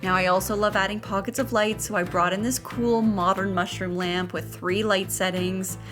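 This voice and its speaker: A woman's voice: mid-range voice, fairly even intonation, normal speed. Chatty woman narrating over prominent music. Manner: chatty, playful. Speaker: host of a home makeover vlog. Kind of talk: upbeat scripted narration with casual asides.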